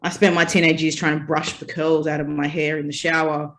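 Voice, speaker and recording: A woman's voice: sits low, little pitch movement, a little fast, a bit bright. Woman, Australian accent, interview guest, reflective, casual chat. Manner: reflective storytelling, uses "you know" and "like". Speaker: guest, festival founder.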